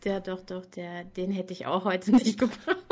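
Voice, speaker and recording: A woman's voice: on the low side, animated, a little slow, darkish tone. Chatty, laughing young woman. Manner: chatty, laughing often, self-mocking. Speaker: author and reading coach. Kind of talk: casual livestream chat. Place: warm room at home.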